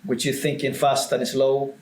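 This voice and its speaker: A man's voice: medium pitch, little pitch movement, a little slow, a little mellow, a little hiss or hum. A man in his forties, a native Spanish speaker with a Spanish accent, speaking as a startup founder on a video call, hesitant with many restarts. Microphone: video call webcam. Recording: echoey room on video call.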